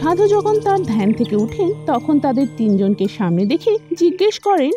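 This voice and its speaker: A woman's voice: sits high, very animated, moderate pace, mellow, faint music behind. A woman reading scripted narration for children's cartoon fables, as a storyteller.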